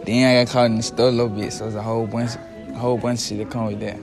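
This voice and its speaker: A teenage boy answering an interviewer in a school classroom, low, mumbled and hesitant. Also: level tone, rapid-fire, music in the background. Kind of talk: casual storytelling.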